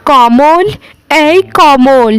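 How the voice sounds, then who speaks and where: A woman's voice: sits high, extremely slow, dry recording. A woman voicing an arrogant rich mistress in a cartoon, scornful and commanding. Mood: ailing, desperate. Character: haughty rich mistress, later sick and humbled. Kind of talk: cartoon voice acting.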